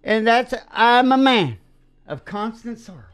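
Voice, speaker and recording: A man's voice: very high-pitched, lively intonation, measured pace, fairly bright tone, clean tone. A man hosting a radio show in a casual, rambling comic monologue, with a folksy, theatrical way of talking. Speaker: radio show host and singer.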